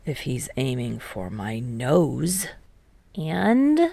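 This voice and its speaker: A woman voicing a dry, aloof, sardonic teenage boy in an audiobook, with an unbothered deadpan delivery. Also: rumbling low, extremely slow, vocal fry, no echo, voice pushed down, heavily acted. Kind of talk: audiobook character voice.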